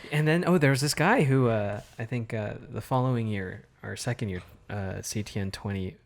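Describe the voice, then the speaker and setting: A man's voice: fairly low pitch, normal speed, creaky. A man in his thirties co-hosting a casual podcast, talking things through thoughtfully. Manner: thoughtful, lots of fillers and restarts. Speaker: podcast co-host, artist. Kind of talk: casual chat.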